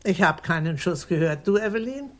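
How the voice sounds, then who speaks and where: A woman's voice: on the low side, lively intonation, fairly quick, lightly acted. Radio crime drama: actress plays stout middle-aged female marksman, blunt, defiant, bone-dry sarcasm. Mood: scornful, matter-of-fact. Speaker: murder suspect, former maths teacher.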